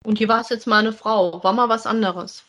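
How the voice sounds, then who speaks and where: A woman's voice: a little high, fairly even intonation, fairly quick, fairly bright tone. A woman co-hosting a casual review podcast, talking in a colloquial, agreeable way. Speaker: podcast co-host. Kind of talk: casual review chat about an audio drama.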